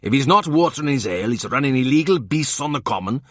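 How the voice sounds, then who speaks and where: A man voicing an excitable, self-important man who rants with heated indignation. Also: mid-range voice, quick pace, no room sound, clean studio sound, pitched above the performer's own voice. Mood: ranting.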